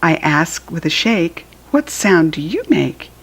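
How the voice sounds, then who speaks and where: Slow-paced American woman reading to children. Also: fairly low pitch, sing-song, gravelly, slightly rough. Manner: simple, instructive, addressing small children; acts out animal sounds while reading. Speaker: children's book reader. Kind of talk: read-aloud storytelling for young children with asides.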